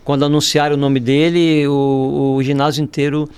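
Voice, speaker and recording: Brazilian man, slightly high voice, dry sound. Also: flat delivery, normal speed, darkish tone, smooth, no room sound. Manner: informal, frequent tag questions. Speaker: podcast guest, writer. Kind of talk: casual conversational storytelling.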